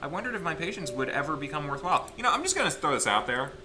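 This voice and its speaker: A man's voice: fairly high pitch, big pitch swings, rapid-fire, background noise. A man hosting a group let's play, reading a visual novel aloud theatrically and breaking off for casual joking asides. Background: game music.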